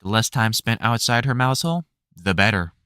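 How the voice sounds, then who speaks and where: A man reading a whimsical storybook as narrator, in an expressive, measured storytelling manner. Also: lowish voice, clear, resonant tone, no echo, studio quality.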